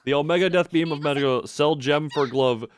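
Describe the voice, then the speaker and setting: A man's voice: on the high side, fairly quick, soft background music. A man giving casual, playful live-stream gameplay commentary, rambling and muttering asides to himself.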